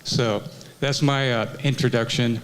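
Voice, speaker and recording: A man's voice: mid-range voice, a little slow, rough recording. A man who works as a carpenter, speaking plainly as a city council candidate at a forum. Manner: plainspoken, steady, some fillers. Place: senior community center.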